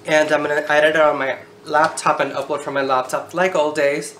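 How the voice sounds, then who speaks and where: A woman's voice: sits low, flat delivery, brisk, a bit bright. A thirty-year-old woman chatting casually to the camera in a candid, informal, sometimes sweary way. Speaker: video blogger. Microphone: camera on a tripod. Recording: roomy echo, background noise.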